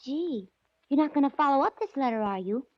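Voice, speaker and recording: An actress playing a naive, worried, scatterbrained secretary in 1940s radio drama. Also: female voice, high-pitched, animated, brisk, dry recording. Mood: fretful, naive. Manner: gushing, naive, hesitant. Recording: dull 1940s radio recording.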